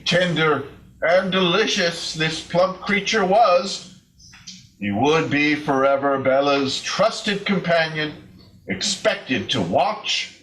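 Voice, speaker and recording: A man reading a story in a measured, theatrical, suspenseful way, as a readers theater performer. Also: on the high side, a bit bright. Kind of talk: dramatic storytelling to an audience. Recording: distant mic, stage show video.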